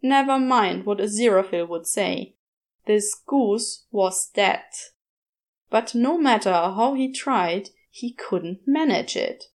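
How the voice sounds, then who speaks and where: A woman narrating a scripted story in a measured, expressive storytelling manner. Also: medium pitch. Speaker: podfic narrator.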